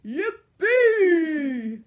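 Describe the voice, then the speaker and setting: A big, noisy storybook giant who shouts out his lines and stretches each word slowly, as an older woman reading aloud puts him on. His voice is loud and pitched well above an everyday voice. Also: big pitch swings, heavily acted. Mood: excited. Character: loud excitable male giant.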